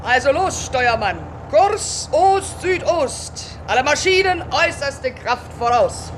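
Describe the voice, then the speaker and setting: A woman's voice: high-pitched, lively intonation, takes it slowly. An actress playing a bold, breezy woman sea captain in a theatrical German radio play, grand and hearty. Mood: commanding. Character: bold, breezy female sea captain commanding her crew. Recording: clear vehicle noise behind.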